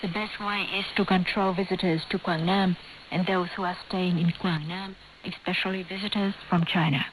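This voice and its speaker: A woman with a Vietnamese accent presenting scripted radio news in English as a non-native speaker, a native speaker of Vietnamese. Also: mid-range voice. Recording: noisy hissing shortwave radio broadcast.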